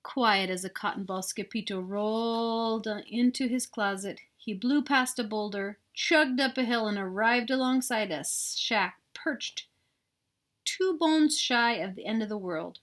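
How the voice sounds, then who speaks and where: A woman's voice: a little high, measured pace, fairly bright tone. A woman storyteller reading a children's picture book expressively, with chatty asides to young listeners. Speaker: read-aloud storyteller.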